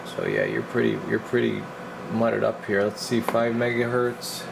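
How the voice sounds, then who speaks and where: A man's voice: on the low side, sing-song, normal speed, vocal fry, very noisy. A man working as a radio repair technician, casually thinking aloud through a hands-on bench demonstration in informal, muttered steps. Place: indoor electronics repair workbench.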